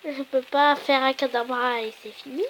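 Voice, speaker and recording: A high-voiced woman with a cold and a sore throat walks viewers through a chatty, rambling app tutorial and keeps correcting herself. Faint noise sits under her voice. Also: fairly even intonation, moderate pace, a bit bright. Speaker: YouTuber. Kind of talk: casual how-to tutorial.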